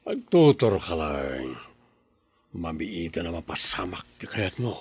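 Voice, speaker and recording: A man's voice: low-pitched, sing-song, takes it slowly, exaggerated voice. A man playing a scheming uncle who plots a kidnapping and falsely comforts the family in a radio drama. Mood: sly. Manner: smooth, sly, falsely soothing. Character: sly uncle secretly plotting a kidnapping. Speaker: uncle, Manong Lito.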